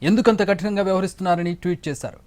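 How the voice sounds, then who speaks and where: A man's voice: sits high, rapid-fire, darkish tone. A man reading scripted news as an anchor in a formal bulletin style.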